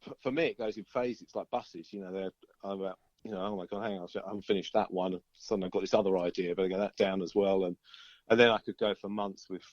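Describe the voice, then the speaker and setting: Man, lower-pitched, casual interviewee with a rambling, looping delivery; close, dull lo-fi sound. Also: fairly even intonation, quick pace, no room sound. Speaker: band singer and songwriter being interviewed.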